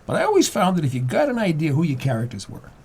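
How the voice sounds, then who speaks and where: A man in his seventies talking casually and reflectively as a guest writer, halting and repeating words as he goes. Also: a little high, wildly swooping pitch, gravelly, darkish tone, slightly rough. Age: 70s.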